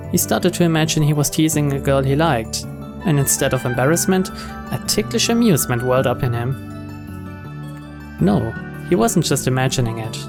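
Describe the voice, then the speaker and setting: Man narrating over clear background music. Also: fairly high pitch. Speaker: let's play host. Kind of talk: reading visual novel narration aloud. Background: game music.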